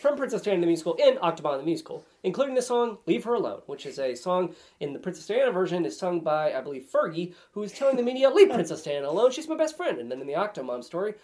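Fast-talking, playful man with animated pitch. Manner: playful, rambling. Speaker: podcast guest. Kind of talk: casual comic podcast chat.

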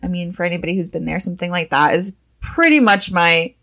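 A woman in her twenties in casual chat, animated, often trailing off and laughing. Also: on the low side, fast.

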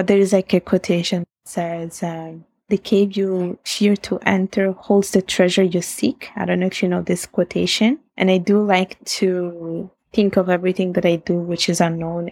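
A woman's voice: on the low side, gravelly, a little mellow, husky, no echo. A young woman with an Italian accent, reflective in a casual interview, laughing often and restarting sentences. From Italy. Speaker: guest.

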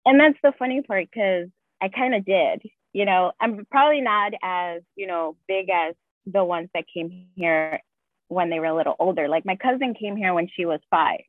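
A woman's voice: lowish voice, moderate pace, dry recording. A woman whose first language is Spanish, a guest nurse chatting casually with lots of fillers. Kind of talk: casual conversation. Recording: tinny phone-like call audio, dry.

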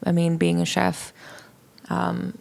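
A woman's voice: on the low side, completely flat delivery, slow pace, gravelly, darkish tone. A young woman chef from Pakistan, a podcast guest, talking candidly and matter-of-factly with many fillers. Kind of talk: podcast interview conversation.

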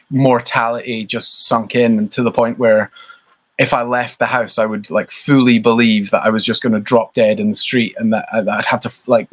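A Scottish man in his thirties, a band member talking casually as an interview guest, rambling and self-deprecating with lots of fillers. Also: medium pitch.

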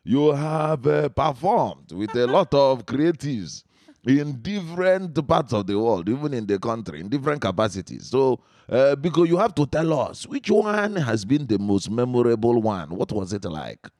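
A man's voice: mid-range voice, very animated, normal speed, darkish tone, dry recording, studio quality, voice pushed up. A man putting on an Igbo Nigerian accent to play a boisterous grown-man persona, teasing playfully.

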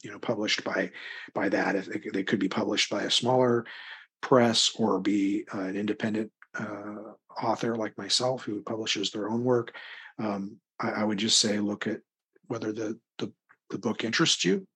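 A man's voice: lowish voice, steady tone, normal speed, a bit bright, breathy edge. A middle-aged American man talking casually as an interview guest, thoughtful and rambling, often hesitating as he works out his answer. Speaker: interview guest, crime fiction author.

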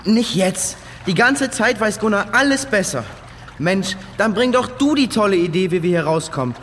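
Male actor as impatient young boy in kids' cave adventure, slightly high voice, reverberant, faint water noise. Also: lively intonation, moderate pace, fairly bright tone. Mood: irritated, anxious. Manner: grumbling, impatient. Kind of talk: children's audio drama.

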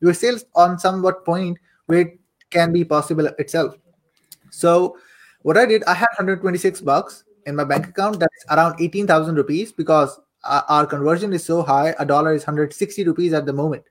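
A young man from Saudi Arabia and Pakistan speaks in a slightly high voice. He tells his own story like a chatty interview guest, informal and fond of repeating a phrase for emphasis. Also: brisk. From Saudi Arabia, later Pakistan. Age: young adult. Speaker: podcast guest, affiliate marketer and coach. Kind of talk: casual personal storytelling in an interview.

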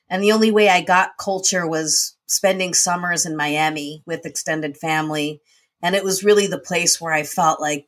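A woman guest in a conversational interview, telling her life story in an earnest, reflective way. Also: low voice. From United States. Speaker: guest, nonprofit founder and CEO.

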